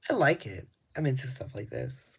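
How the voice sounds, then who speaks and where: A man showing records from his collection in casual talk, laid-back and rambling, his voice slightly raspy, with frequent ums and restarts. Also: medium pitch, big pitch swings, normal speed.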